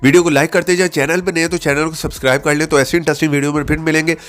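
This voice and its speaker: A man's voice: a little high, fairly even intonation, rapid-fire. A man hosting a tech tips video, explaining things step by step in an instructional way with frequent short check-in tags. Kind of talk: app tutorial narration.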